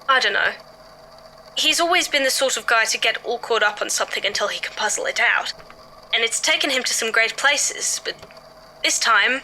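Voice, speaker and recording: A woman playing a shaken young male podcaster giving a halting, earnest witness statement in an audio drama. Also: a little high, pushed and bright, slight background noise, poor audio. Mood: worried. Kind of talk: first-person audio drama monologue.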